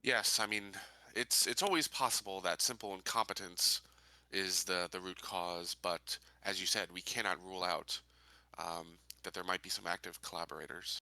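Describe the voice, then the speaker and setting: A man playing an earnest, measured investigator in a tabletop sci-fi game, with hesitant, thoughtful, formal phrasing. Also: low-pitched, fairly even intonation, sharp tone, no room sound, full character voice. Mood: thoughtful, probing. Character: earnest, measured male investigator in a space colony. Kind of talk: in-character tabletop roleplay dialogue.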